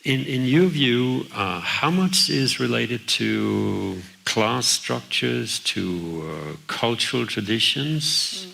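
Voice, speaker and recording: Gravelly bright-voiced male interviewer. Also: medium pitch, animated, slow pace, hardly any pauses. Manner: hesitant, many fillers, long winding questions. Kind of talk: onstage interview questions. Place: event venue. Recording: faint hiss.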